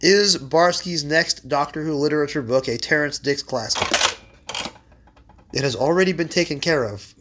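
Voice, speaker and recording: Hoarse wry man, a bit high. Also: normal speed, very noisy. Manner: wry, reads fortune cards aloud with mock drama. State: hoarse after illness. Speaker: podcast host. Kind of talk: casual chat. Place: home library.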